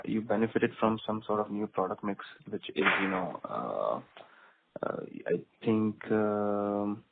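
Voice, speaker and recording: A man's voice: fairly low pitch, vocal fry. A man, an analyst putting questions on a conference call. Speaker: investment analyst asking questions. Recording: phone-like line, faint noise.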